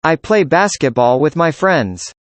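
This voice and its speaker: A man's voice: fairly high pitch, very animated, a little slow, no echo. Man reading scripted classroom dialogue. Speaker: student in a dialogue.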